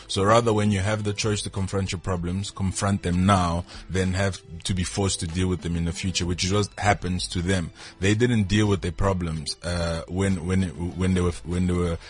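Deep-voiced man in a radio studio. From South Africa. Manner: thoughtful, repeats words as he searches. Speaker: actor guest. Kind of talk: radio interview.

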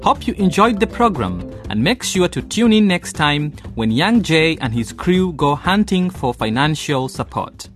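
A man's voice: fairly high pitch, a bit bright. A man presenting the scripted outro of an educational radio programme as its announcer. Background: guitar music.

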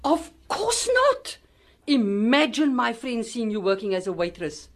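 A woman's voice: a little high, big pitch swings, a little slow. A woman playing a harried, strict mother in a radio drama, exasperated and fussing, with a Cape Town South African accent. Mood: stern, exasperated. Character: harried, strict, fretful middle-aged mother. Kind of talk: amateur radio drama acting.

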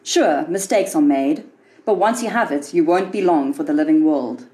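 A woman narrates scripted fiction in a voice a little lower than most women's. Her delivery is measured and literary, with a dry, wry edge to the storytelling. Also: dark tone. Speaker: author reading own short story.

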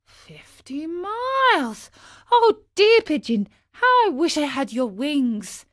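A woman's voice: high-pitched, sing-song, slow, a bit bright, pitched above the performer's own voice, full character voice. A woman voicing a naive, impulsive wooden marionette boy in a storybook, with an exclamatory, pleading delivery. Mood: excited, eager. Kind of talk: storybook character voice.

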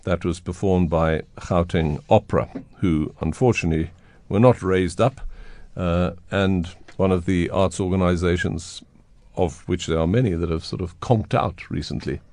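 A man's voice: sits low, normal speed, a little mellow. A man hosting a radio interview show, chatting with his guest in the studio. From South Africa. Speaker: radio host. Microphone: studio microphone.

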